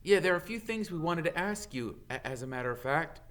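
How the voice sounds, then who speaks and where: A man acts out a young schoolboy in a storybook. He is earnest, polite and inquisitive. The pitch sits raised and the tone is bright. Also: lively intonation, brisk.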